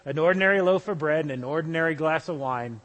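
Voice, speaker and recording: Male pastor, high voice, easygoing preaching with restarts, long church reverb. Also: quick pace, fairly bright tone. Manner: conversational, with small restarts. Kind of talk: sermon.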